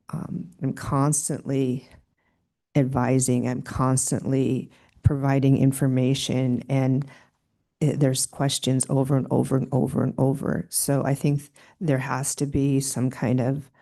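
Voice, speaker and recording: A soft-spoken school superintendent testifying at a legislative committee hearing. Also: medium pitch, vocal fry.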